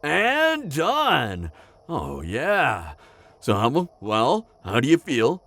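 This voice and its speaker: A man playing a chatty, pragmatic necromancer in an audio roleplay, talking casually and a little haltingly straight to the listener. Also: medium pitch, wildly swooping pitch, a bit bright, exaggerated voice. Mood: businesslike, eager. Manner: casual, hesitant asides, frequent chuckling. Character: chatty, upbeat, pragmatic male necromancer, former tailor. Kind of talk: conversational roleplay addressed to the listener.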